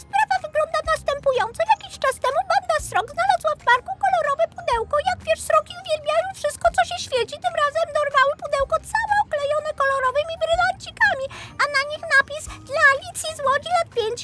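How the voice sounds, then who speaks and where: An extremely high, squeaky squirrel, chattering away in a children's audio tale. She is excitable and talkative, telling things eagerly over clear background music. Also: a little fast, hardly any pauses. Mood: eager, important. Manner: talkative, storytelling.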